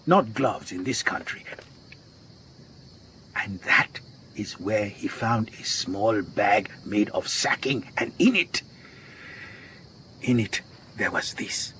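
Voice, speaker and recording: An actor playing a worried, earnest garage owner in a radio drama, with a Southern African accent, speaking gravely and deliberately. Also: male voice, on the low side, lively intonation, bright, forceful tone, a little hiss or hum, exaggerated voice. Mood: grave, shaken. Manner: grave, deliberate, repeats phrases for emphasis.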